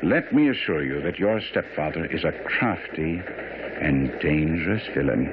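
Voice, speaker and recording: An actor playing a shrewd, commanding Victorian detective in a radio drama, questioning with incisive authority. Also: male voice, a bass voice, animated, moderate pace, highs cut off. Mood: grave, insistent. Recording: noisy, band-limited old radio broadcast.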